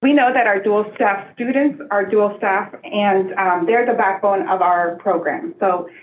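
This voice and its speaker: A woman's voice: medium pitch, steady tone, unhurried. A woman presents a school program at a board meeting in an explanatory tone with some fillers. The sound is tinny and phone-like, with a roomy echo and a faint hiss. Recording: echoey tinny phone-like room audio.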